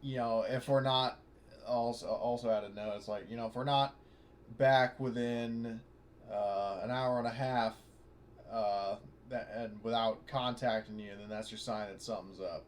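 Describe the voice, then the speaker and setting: A man's voice: on the low side, steady tone, moderate pace. A man playing an online tabletop role-playing game, talking casually and hesitantly, often rambling and correcting himself. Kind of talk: casual in-character and out-of-character game talk. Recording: clearly noisy online voice chat.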